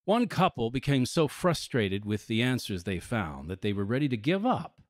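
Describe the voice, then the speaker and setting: A man's voice: medium pitch, big pitch swings, normal speed. A man announcing a radio drama in scripted, dramatic narration. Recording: music playing behind the voice. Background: prominent guitar music.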